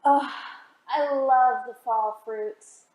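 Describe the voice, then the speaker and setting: Woman, children's storytime reader, unhurried, expressive, questioning asides, echoey room. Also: high voice. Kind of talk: children's picture-book read-aloud with asides.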